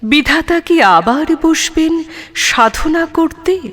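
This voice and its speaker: A woman reciting a poem in a solemn, expressive style, with measured, dramatic phrasing and deliberate pauses. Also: high voice. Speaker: poetry reciter.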